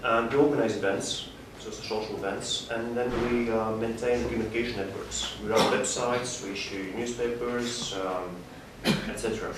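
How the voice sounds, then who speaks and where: A man explaining as a panelist, halting as he presents without a script. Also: mid-range voice, fairly even intonation. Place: indoor panel discussion room. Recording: distant echoey room, clear noise.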